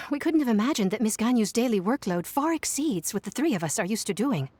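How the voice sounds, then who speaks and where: A prim, overworked young secretary explains things in a fairly high voice. The delivery is formal and dutiful, in the style of video game dialogue. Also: female voice, animated, a little fast, mellow. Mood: formal, weary.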